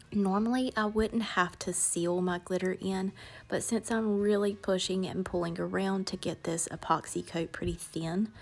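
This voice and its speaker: Woman, raspy hoarse voice, gravelly, chatty tutorial voiceover. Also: on the low side. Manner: chatty, step-by-step explaining. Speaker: crafting channel host.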